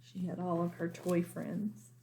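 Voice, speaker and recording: A woman's voice: fairly low pitch, flat delivery, a little slow, gravelly, warm tone, rough recording. A woman teacher reading a children's storybook aloud expressively, explaining things simply for young listeners.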